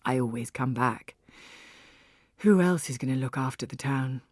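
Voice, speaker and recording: A woman narrating a story in the first person, with an expressive, wry and theatrical delivery. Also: very deep voice, moderate pace. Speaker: audiobook narrator.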